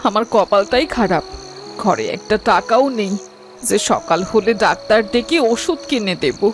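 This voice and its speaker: A woman's voice: medium pitch, expressive, a little slow, soft background music, close to an everyday voice. Woman playing a careworn, sorrowful village mother. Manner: soothing, sorrowful. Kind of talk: melodramatic cartoon dubbing.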